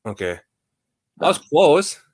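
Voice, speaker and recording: A man's voice: on the high side, very animated, takes it slowly, a little mellow, slightly rough. A man in his forties hosting a podcast, asking questions in a casual, chatty interview style. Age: 40s. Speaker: podcast host.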